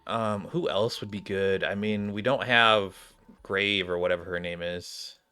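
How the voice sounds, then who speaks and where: A man's voice: on the low side, steady tone, a little slow, bright, forceful tone, clean tone. A man streaming a video game with casual, slangy commentary and grumbling asides. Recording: game music behind the voice.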